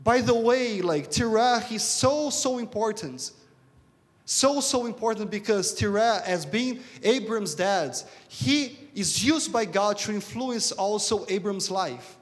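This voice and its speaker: A male preacher with a Brazilian accent speaks in an extremely high register for a man. He delivers a sermon emphatically, repeating key words and posing questions to his listeners. Long reverb from a large church hall surrounds his voice. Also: expressive, slow. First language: Portuguese. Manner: repetitive, emphatic, rhetorical questions. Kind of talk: sermon to a congregation.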